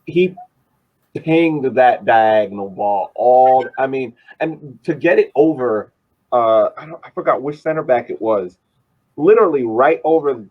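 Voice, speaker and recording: A man co-hosting a sports podcast, giving casual soccer analysis in a halting way, repeating phrases for emphasis, on slightly dull audio. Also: mid-range voice.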